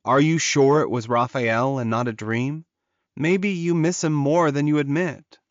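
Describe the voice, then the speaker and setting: A man's voice: mid-range voice, fairly even intonation, unhurried, no room sound, lo-fi sound, lightly acted. A man voicing a lovelorn male werewolf in an audiobook, earnest and urging as he encourages his beloved. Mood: pained concern. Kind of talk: audiobook character dialogue.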